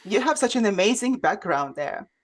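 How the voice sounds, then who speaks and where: A woman's voice: medium pitch, very animated, normal speed. A woman hosting a conversational interview show, speaking over a remote video call. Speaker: host.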